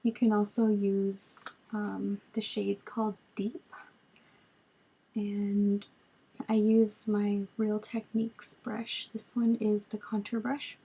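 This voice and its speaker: A woman in her twenties with a raspy voice from a cold, chatting casually through a makeup tutorial, recorded on a webcam in a small bedroom. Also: medium pitch, slow, rough recording. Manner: relaxed, chatty, lots of ums while applying makeup. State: hoarse from a cold. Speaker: beauty vlogger. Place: small bedroom with storage shelves, indoors. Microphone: webcam at arm's length.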